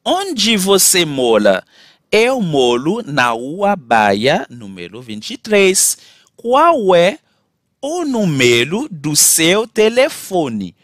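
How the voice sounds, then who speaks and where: A man teaching a language class, saying model phrases slowly and clearly for learners to repeat, then switching to quick explanations. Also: high voice, wildly swooping pitch, a bit bright, dry recording, clean studio sound. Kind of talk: repeat-after-me language drill.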